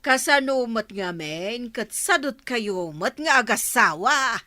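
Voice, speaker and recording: A woman's voice: fairly high pitch, lively intonation, takes it slowly, bright, forceful tone, no echo, studio quality, full character voice. A woman with an Ilocano accent playing a preachy, scolding village mother in a radio comedy drama. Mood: admonishing. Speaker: voice actor.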